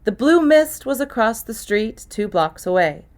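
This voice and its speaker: A woman reading a first-person mystery novel aloud as an expressive, scripted audiobook narration. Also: a little high, unhurried.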